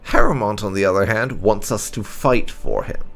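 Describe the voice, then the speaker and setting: Guy doing let's play roleplay commentary, lowish gravelly voice, halting and thoughtful, trails off. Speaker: gaming channel host.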